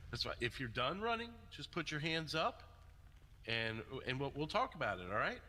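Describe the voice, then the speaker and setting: Casting: man as controlled game-roleplay cop, insistent and commanding, lively intonation, reverberant sound. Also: a little high, normal speed, pitched above the performer's own voice, exaggerated voice. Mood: coaxing, controlled. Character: firm, controlled male police officer negotiating with suspect. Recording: long reverb, faint noise behind.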